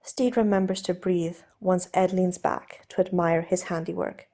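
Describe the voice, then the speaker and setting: A woman's voice: fairly low pitch, steady tone, unhurried, warm tone. A woman reading scripted fiction aloud as an audiobook narrator.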